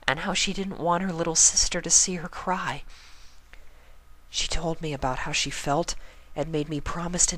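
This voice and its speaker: A man voicing a gentle, timid, caring female pony with a hushed, tender delivery in a cartoon fan fiction reading. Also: on the high side, pitched above the performer's own voice, close to an everyday voice.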